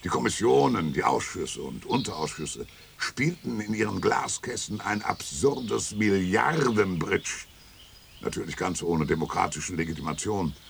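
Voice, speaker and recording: An actor playing an ironic European thinker who lectures emphatically in a radio play. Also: male voice, lively intonation, unhurried, gravelly, a little hiss or hum, exaggerated voice. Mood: lecturing. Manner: emphatic, lecturing, swings pitch widely.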